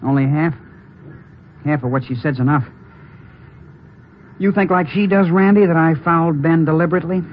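Edgy young american jockey actor playing him, defensive n earnest, old radio drama, muffled w faint noise. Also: male voice, on the high side, moderate pace, long pauses, natural voice.

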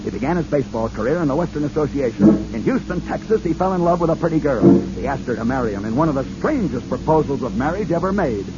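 Showman radio host, lively swooping delivery, music bed, muffled vintage sound. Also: medium pitch, quick pace, hardly any pauses. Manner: theatrical, emphatic showman. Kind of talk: dramatic radio narration.

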